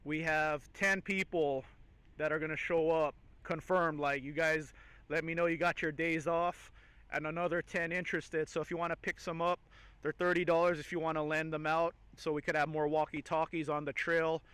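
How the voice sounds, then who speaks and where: A man with a fairly high, clean and smooth voice talks informally, as a vlogger does to viewers. His delivery is casual and enthusiastic. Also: flat delivery, moderate pace, a bit bright, dry recording. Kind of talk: casual chat to camera.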